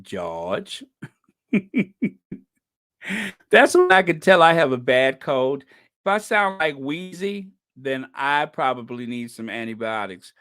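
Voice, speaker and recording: Laid-back streamer wrapping up a live chat, slow and rambly, voice swoops up and down, keeps cracking themselves up. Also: medium pitch, lively intonation, fairly bright tone, clean tone. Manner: rambling, joking, chuckling at own jokes; talks to chat viewers by name.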